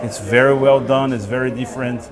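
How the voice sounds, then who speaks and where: A man's voice: medium pitch, fairly even intonation, a little fast, low-quality recording. A man from Brazil with a Brazilian Portuguese accent, a native Portuguese speaker, describing his watch in simple, careful phrases. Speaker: guest collector. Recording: voices over a chatty crowd.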